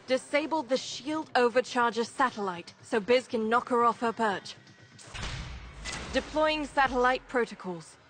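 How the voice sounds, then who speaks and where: A woman's voice: fairly high pitch, a bit bright. An actress voicing a determined woman who leads a rebel operation in a video game, giving orders with an authoritative briefing manner. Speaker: mission commander. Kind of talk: video game character acting.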